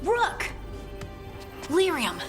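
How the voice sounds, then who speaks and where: A woman's voice: very high-pitched, huge pitch swings, extremely slow, over music, full character voice. A woman voicing an earnest, plain-spoken dwarf companion in a video game, informal and emotionally open. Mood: agitated, upset.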